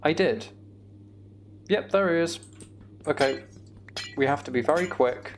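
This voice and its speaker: A man's voice: a little high, measured pace, background noise. A British man giving casual video game commentary, thinking aloud as he plays. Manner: thinking aloud, mild swearing. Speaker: gamer narrating own play.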